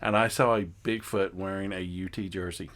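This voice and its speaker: A man's voice: deep voice, steady tone, a little fast, fairly bright tone. A man co-hosting a podcast in casual banter, rambling into goofy, joking asides. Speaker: podcast co-host.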